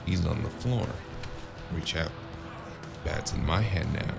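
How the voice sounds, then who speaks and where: A man's voice: rumbling low, slow, creaky, heavily acted. An actor playing a dazed, cocky hardboiled brawler who narrates his own fight in clipped, terse phrases, in the style of a noir audio drama. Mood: focused, terse. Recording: loud music under the voice.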